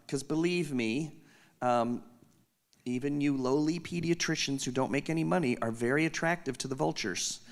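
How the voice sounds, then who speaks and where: A man with a loud voice giving an unscripted conference keynote from a stage, candid and self-deprecating with joking asides. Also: mid-range voice. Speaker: company executive giving a keynote.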